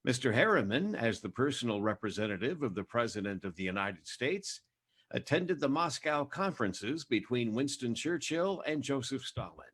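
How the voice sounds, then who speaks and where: A formal network announcer from 1940s radio. His mid-pitched voice is husky and he reads in a measured, official manner. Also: sing-song, a bit bright.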